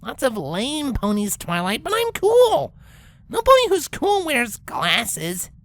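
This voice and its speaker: A woman's voice: sits high, huge pitch swings, slow, exaggerated voice. A woman voicing a brash, sulky young female cartoon pegasus who grumbles in cocky slang. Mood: sulky, defensive. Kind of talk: character acting in a fan-fiction story reading.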